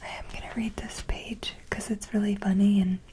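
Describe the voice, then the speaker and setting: A woman doing ASMR, reading and commenting in a soft, breathy whisper. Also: mid-range voice, little pitch movement, a little fast. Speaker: ASMR video creator.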